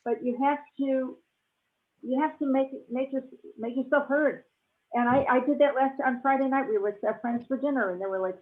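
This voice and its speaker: A woman on a panel, chatting casually over a video call, often restarting her sentences and laughing easily. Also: high-pitched. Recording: tinny phone-like audio.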